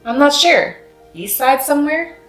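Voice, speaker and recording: A woman voicing a kindly, bedridden hospice resident in a storybook, gentle, curious and a little halting. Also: high-pitched, expressive, soft background music, voice pushed up. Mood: gently curious. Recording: roomy, echoing sound.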